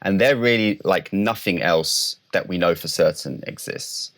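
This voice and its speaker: A man's voice: low-pitched, fairly bright tone. A man speaking as a scientist guest in an interview, explaining carefully and precisely, step by step. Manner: measured, precise, qualifying definitions step by step.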